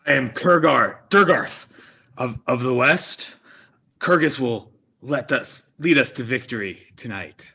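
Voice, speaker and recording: A man puts on a gravelly, booming warrior with a sharp, raspy edge to his voice. He proclaims loudly, from a distance off the mic. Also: a little slow, full character voice. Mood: proclaiming. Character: gruff male warrior from the west.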